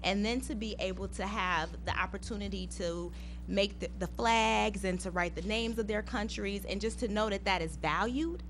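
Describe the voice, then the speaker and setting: A woman's voice: medium pitch, moderate pace, fairly bright tone, a little hiss or hum. Earnest woman presenting to a school board. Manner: conversational, earnest, with frequent fillers. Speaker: school district English learner program presenter.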